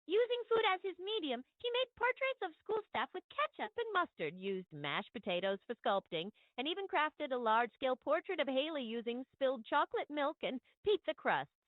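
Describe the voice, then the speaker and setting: A lo-fi recording of a high-voiced woman with a very lively, swooping delivery. She speaks formally and courteously, like a diplomatic school principal on the phone, full of effusive politeness. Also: brisk, hardly any pauses, dry recording. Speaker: AI prank caller posing as school principal. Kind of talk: polished, formal phone-call speech. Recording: dull lo-fi phone call playback.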